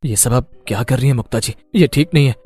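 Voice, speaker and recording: A man's voice: medium pitch, quick pace, dark tone, breathy edge, faint music behind, lightly acted. A man playing a stubborn, neglected young husband in a melodramatic story, with an emphatic, aggrieved manner. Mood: grateful, aggrieved. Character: neglected, stubborn young husband Aditya, smitten with another woman. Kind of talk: melodramatic Hindi moral story acting.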